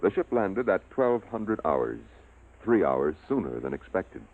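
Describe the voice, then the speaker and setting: A man acting as a grave, deliberate storyteller narrating a 1950s science fiction radio drama. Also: sits low, big pitch swings, heavily acted. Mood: suspenseful. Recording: dull old radio recording, clear music behind. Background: music.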